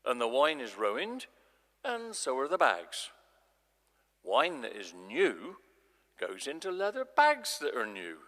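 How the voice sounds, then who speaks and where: Man as commanding scripture teacher, dramatic reading, measured emphatic delivery, bright forceful tone, long church reverb. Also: medium pitch, huge pitch swings, full character voice. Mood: solemn teaching. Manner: declamatory, measured, emphatic phrasing. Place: large church, live performance.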